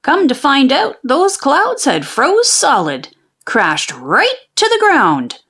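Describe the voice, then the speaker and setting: Lady reading a kids tall tale, super swoopy folksy delivery, slow with some creak. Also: sits high. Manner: expressive, folksy storytelling. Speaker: children's book read-aloud narrator. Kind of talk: animated storybook narration of a folksy tall tale.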